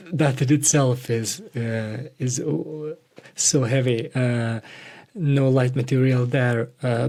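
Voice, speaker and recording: A man hosting a reflective interview, speaking hesitantly and haltingly as he searches for words. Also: medium pitch, darkish tone. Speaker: podcast host interviewing a guest.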